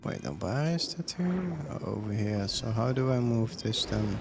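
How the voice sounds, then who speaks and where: A man's voice: fairly low pitch, lively intonation, moderate pace, vocal fry, background noise. A man giving casual live gameplay commentary as a streamer, thinking aloud and reading on-screen text and chat. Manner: thinks aloud, questioning himself while playing. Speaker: game streamer.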